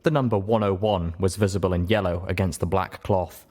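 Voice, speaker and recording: A man's voice: low-pitched, steady tone, brisk, a little mellow. A man reading a scripted story aloud as dramatic audiobook narration. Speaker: audiobook reader.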